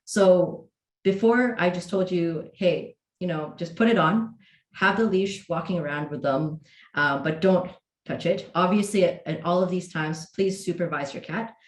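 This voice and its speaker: A woman's voice: fairly low pitch, a little slow. A woman hosting a virtual hangout on cat training, talking casually with many fillers and restarts. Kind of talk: casual livestream talk. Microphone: video call. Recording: roomy echo.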